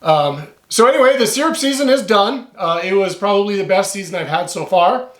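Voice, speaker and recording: A man with a hoarse voice, chatting in a relaxed, rambling way as he wraps up an outdoor vlog. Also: sits high, fairly quick, reverberant. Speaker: outdoor vlogger. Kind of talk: casual vlog talk to camera. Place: off-grid cabin in the northern woods.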